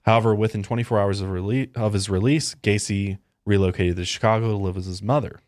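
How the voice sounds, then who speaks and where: Relaxed low-voiced man reading case notes. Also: fast talker, no room sound, studio quality. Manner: relaxed, reads notes with fillers and asides. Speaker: podcast co-host. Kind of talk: casual true-crime podcast chat, reading out case facts. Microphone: studio podcast mic.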